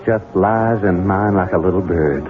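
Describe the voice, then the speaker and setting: An actor playing a selfish, evasive Southern bachelor heir in a 1970s radio drama, with a husky voice and an American Southern accent. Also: male voice, low voice, normal speed, music in the background, lightly acted. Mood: smooth, wooing. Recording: muffled 1970s radio broadcast.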